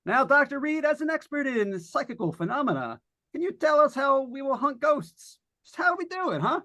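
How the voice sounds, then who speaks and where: An actor as a lively, breezy radio announcer. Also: sits high, brisk, heavily acted. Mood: breezy, joking. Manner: breezy, folksy, jokey. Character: chatty, wisecracking radio announcer hosting a live ghost hunt. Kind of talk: 1940s radio drama acting.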